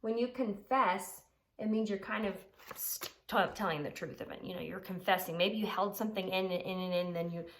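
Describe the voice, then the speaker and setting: A woman's voice: medium pitch, moderate pace, a little hiss or hum, rough recording. A woman elementary teacher explaining simply to children and reading aloud, with emphasis and rhetorical questions. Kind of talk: children's lesson and expressive storybook read-aloud.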